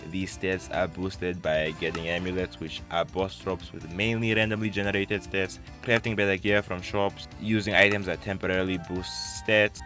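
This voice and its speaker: A man's voice: sits low, a bit bright. A young man giving scripted commentary in a wry, self-aware way with casual asides. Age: young adult. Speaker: YouTube video essayist.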